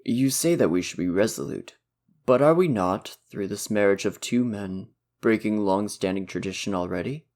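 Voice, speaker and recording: Man acting a low-voiced, courteous diplomatic prince. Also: a little mellow, natural voice. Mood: diplomatic, reasoning. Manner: courteous, measured, articulate. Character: polite, diplomatic young prince, earnest and patient. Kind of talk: audio roleplay acting.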